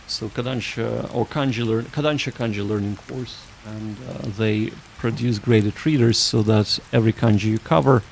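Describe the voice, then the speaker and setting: A man hosting a livestream, chatting casually with viewers in a halting, rambling way that often restarts sentences. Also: on the low side, fairly even intonation, creaky, a little hiss or hum. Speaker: livestream host.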